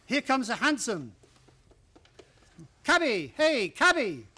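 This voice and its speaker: An actor playing an earnest, agreeable gentleman sidekick to a detective in a radio play. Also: very high voice, wildly swooping pitch, takes it slowly, husky, heavily acted. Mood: earnest, alert.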